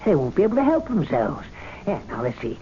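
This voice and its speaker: An actor playing an indignant, fussy, excitable club servant in an old radio drama, given to muttering and theatrical outbursts. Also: male voice, on the high side, a little fast. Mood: scheming, excited. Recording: muffled, noisy old radio drama recording.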